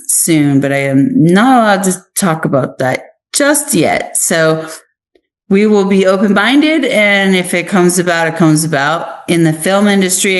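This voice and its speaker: Woman, American accent, low register, earnest and emphatic interview guest. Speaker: interview guest, actress and author. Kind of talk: conversational interview answers.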